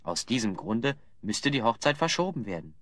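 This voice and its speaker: An actor playing a clever, composed hero in a German audio drama, speaking in a measured, articulate, persuasive way. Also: male voice, mid-range voice, sing-song, fairly bright tone, no room sound, studio quality. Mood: calm, persuasive.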